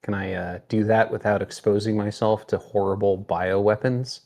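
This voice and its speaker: A man's voice: lowish voice, steady tone, normal speed, darkish tone. A man chatting casually as a player in a tabletop roleplaying game.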